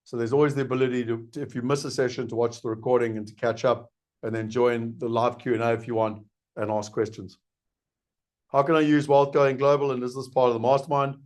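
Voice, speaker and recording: A middle-aged South African man speaks earnestly and persuasively, in a medium-pitched voice. He poses listeners' questions and answers them himself, like a live webinar host making a sales pitch. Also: flat delivery, a little fast, darkish tone. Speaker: investment program host.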